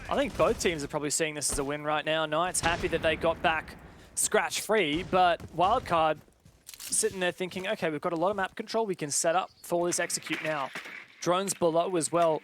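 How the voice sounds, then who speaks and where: Guy casting an esports match, pretty high voice, excitable, faint game gunfire behind him. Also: moderate pace, background noise. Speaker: esports play-by-play commentator. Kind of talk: live esports commentary.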